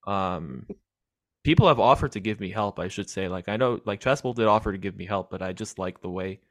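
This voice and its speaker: A young man with a fairly low, nasal voice hosts his own podcast. He talks in a relaxed, joking way, poking fun at himself and laughing often. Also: a little fast, no room sound. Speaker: podcast host. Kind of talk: casual interview chat.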